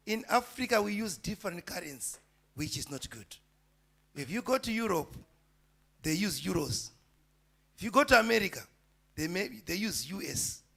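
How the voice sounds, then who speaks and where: A man, a reverend, preaching to a gathering with emphatic, loud rising declarations. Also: sits high, creaky. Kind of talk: preaching address.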